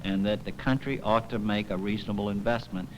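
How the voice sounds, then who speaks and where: A man making a measured, emphatic formal statement as a spokesman. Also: fairly low pitch, steady tone, noisy background.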